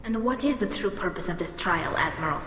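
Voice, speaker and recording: A woman's voice: on the low side, expressive, brisk, background noise, lightly acted. An actress playing an earnest, principled young female alien engineer in a video game, speaking precisely. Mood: guarded, defiant. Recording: echoey hall reverb, music behind.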